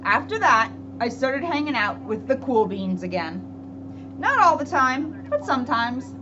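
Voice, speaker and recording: A woman police officer speaking to children in an expressive, storybook read-aloud manner, with emphatic pauses. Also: high voice. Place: indoors at a public library. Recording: dull lo-fi audio with clear background noise.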